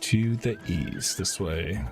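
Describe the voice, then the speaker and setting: A man's voice: low-pitched, takes it slowly, faint music behind. A man doing casual gameplay commentary as he streams a video game. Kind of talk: casual gameplay commentary, reading quest dialogue aloud. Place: indoors, live stream.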